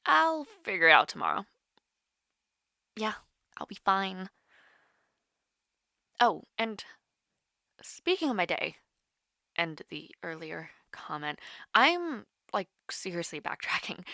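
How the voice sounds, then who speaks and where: A woman's voice: mid-range voice, big pitch swings, slow, gravelly, pauses often, pushed and bright, no echo, clean studio sound, heavily acted. A young woman playing a nervous, rambling college student chatting casually with her online crush in an audio roleplay. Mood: shy, casual. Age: young adult. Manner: awkward, rambling, hesitant with many fillers. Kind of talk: naturalistic romantic audio roleplay, casual one-sided call.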